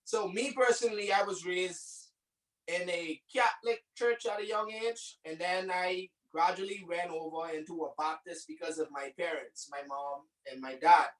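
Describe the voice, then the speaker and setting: A man's voice: high voice, normal speed, fairly bright tone. A man in his twenties with a Bahamian accent, plainly and agreeably telling his background as a guest. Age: 20s. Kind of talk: casual interview. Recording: roomy and distant from mic.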